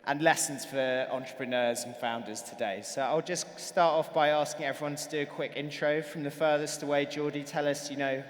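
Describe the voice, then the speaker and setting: A man moderating a panel discussion, brisk and friendly. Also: fairly high pitch, steady tone. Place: large conference hall stage. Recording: long hall reverb.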